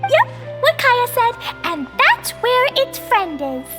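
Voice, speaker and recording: A sweet little girl who talks in a very high, bouncy sing-song and takes her time over every word. She sounds eager and kind, and game music plays clearly under her lines. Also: very animated, takes it slowly, in a large hall, exaggerated voice. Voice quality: bright childish sing-song. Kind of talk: game cutscene dub.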